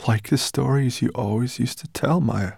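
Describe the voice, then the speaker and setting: A man voicing a gentle male dragon who has just woken and speaks softly, full of wonder. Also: mid-range voice, moderate pace, creaky, breathy edge, no echo, clean studio sound, voice pushed up. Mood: bewildered.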